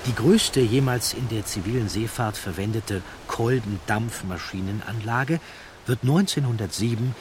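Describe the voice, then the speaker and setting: Low-voiced man reading history. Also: a little hiss or hum. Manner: measured, factual reading. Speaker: audiobook narrator. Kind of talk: scripted documentary narration.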